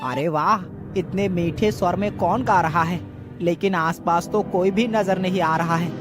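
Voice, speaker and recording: A man's voice: high-pitched, measured pace, over music. A man voicing a humble, superstitious bird-catcher in a cartoon story. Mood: curious, eager. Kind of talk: children's cartoon story voice acting.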